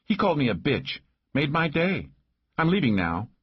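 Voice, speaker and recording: Male narrator as a hot-tempered female sheriff, audiobook. Combative, high-pitched, swinging intonation. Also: big pitch swings, moderate pace, slightly rough, lo-fi sound. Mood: defiant, blunt. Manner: combative, blunt, cursing. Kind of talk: audiobook character voice.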